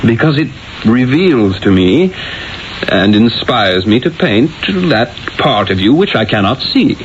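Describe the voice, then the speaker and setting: An actor playing an urbane, exasperated Renaissance painter in a 1970s radio drama, with a theatrical, emphatic, probing manner. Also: male voice, medium pitch, sing-song, measured pace. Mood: curious, coaxing. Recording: dull, hissy old radio broadcast.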